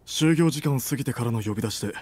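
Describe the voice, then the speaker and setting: A man in his thirties playing a suave, assertive company president and boyfriend in an intimate romance audio drama, soft and breathy, gentle yet commanding. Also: mid-range voice, fast talker, natural voice. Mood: businesslike, keen. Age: 30s.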